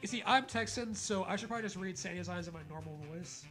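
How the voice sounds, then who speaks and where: High-voiced man doing mock-serious comedic reading. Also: fast talker, fairly bright tone, soft background music. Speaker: co-host reading a fan fiction aloud. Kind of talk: comedic dramatic reading with asides.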